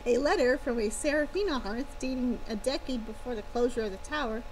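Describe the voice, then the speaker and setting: A woman's voice: on the high side, a little fast, low-quality recording. A woman reading a story aloud on a livestream, breaking off for playful, casual asides. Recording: clear background noise.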